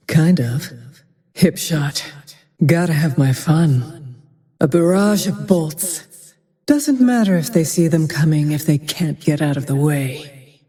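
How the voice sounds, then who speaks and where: A woman playing a cold, vengeful, menacing female archer in a video game, with a whispery edge and slow, icy delivery. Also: low voice, warm tone, husky, big-room sound, full character voice. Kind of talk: video game character voice lines.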